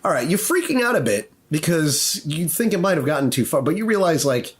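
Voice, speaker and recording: Animated male game master. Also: fairly high pitch, brisk. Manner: animated, conversational. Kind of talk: improvised narration of a tabletop game.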